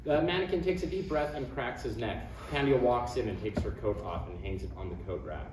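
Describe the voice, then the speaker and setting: A man's voice: mid-range voice, fairly quick. A man reading stage directions as narrator at a live table read. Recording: echoey noisy room, distant mic.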